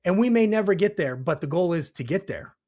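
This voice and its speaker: A man's voice: high voice, expressive, a little fast, a little mellow. A man hosting a podcast in an earnest, conversational style, with a slightly scratchy voice and an emphatic way of stacking repeated phrases. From United States. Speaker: podcast host.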